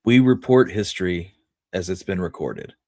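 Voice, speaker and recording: A man with a fairly low voice discusses history as a podcast co-host. He lays out his reasoning step by step in conversational language, measured and deliberate, recorded dry. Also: expressive, darkish tone, dry recording.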